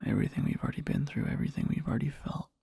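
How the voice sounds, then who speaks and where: A man playing an adoring boyfriend in a soft ASMR roleplay, murmuring slowly and tenderly to a sleeping partner in a breathy, husky whisper. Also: no room sound, studio quality, lightly acted. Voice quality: breathy, husky, with creaky fry. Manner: slow, halting, tender murmuring.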